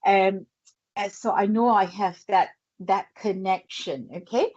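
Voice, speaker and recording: A woman with a Yorkshire accent, working as a psychic medium, speaks slowly and hesitantly, pausing on fillers as she thinks aloud. The recording is dry and a little dull, with no room sound. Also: mid-range voice, fairly even intonation, a little mellow, no echo. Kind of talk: live psychic reading on air.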